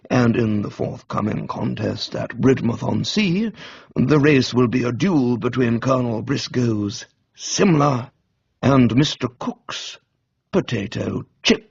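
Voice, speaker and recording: Male reader as discreet valet, composed, formal, exact phrasing, husky, radio comedy, slightly muffled sound. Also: medium pitch, expressive, no echo, full character voice. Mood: calmly explanatory. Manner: measured, formal, precise.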